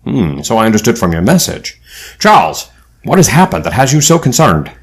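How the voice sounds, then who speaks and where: A man's voice: on the low side, big pitch swings, a little fast. A man playing a genial, learned older leader of adventurers in an audio drama, speaking in an erudite manner. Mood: intrigued. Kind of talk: audio drama acting and narration.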